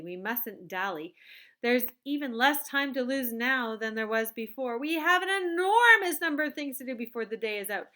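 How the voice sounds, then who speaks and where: A woman voicing an excitable, eccentric male candy maker who gushes and exclaims theatrically. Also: high voice, pitched above the performer's own voice.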